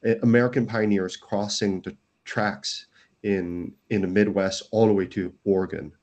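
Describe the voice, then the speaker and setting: A man's voice: fairly low pitch, steady tone, moderate pace, a little mellow. A man, a startup founder, earnestly explaining his company as an interview guest, often restarting his sentences. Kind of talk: casual interview.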